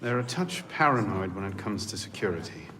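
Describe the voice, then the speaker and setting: An actor playing an earnest, loyal young assassin in a dramatic video game, speaking in an understated way. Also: male voice, on the low side, expressive, brisk, a bit bright, slight background noise. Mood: dry. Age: young adult.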